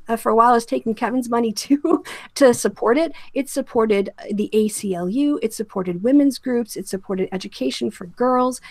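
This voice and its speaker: A woman's voice: on the high side, creaky, no room sound. Emphatic woman delivering critical commentary, dry sound. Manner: emphatic, critical, direct address. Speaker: host of a discussion show. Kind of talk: opinionated commentary, rant.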